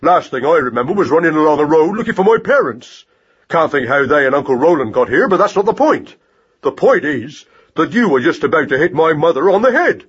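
A man's voice: on the high side, very animated, fairly quick, husky, lo-fi sound, voice pushed up. A man voicing a furious young giant mouse in a storybook, blustering through an indignant scolding tirade.

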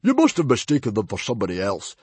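A man's voice: medium pitch, sing-song, moderate pace, darkish tone, husky, dry recording, voice pushed up. A man voicing a big, blustering Viking chief in a storybook reading. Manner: roaring, defiant.

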